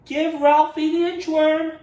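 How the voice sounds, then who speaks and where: A shy little storybook schoolboy, played by a man, speaks in a raised, halting voice with drawn-out words. The sound is roomy and a bit distant. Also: very high voice, level tone, dark tone, voice pushed up. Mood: coaxing. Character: shy, stuck little schoolboy telling his inchworm story. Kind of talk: picture-book character voice. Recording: roomy echo, distant microphone.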